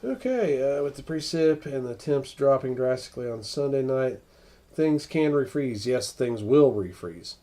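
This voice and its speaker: An American man hosting a casual, unscripted weather livestream, thinking aloud in a halting way as he answers viewers. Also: medium pitch, lively intonation, mellow. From northern United States.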